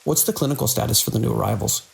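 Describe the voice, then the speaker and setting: A man playing a weary hospital director and surgeon in an audio drama, speaking in a measured, formal, wry way. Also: mid-range voice, vocal fry, husky, heavily acted. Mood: businesslike, strained. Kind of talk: scripted audio drama narration.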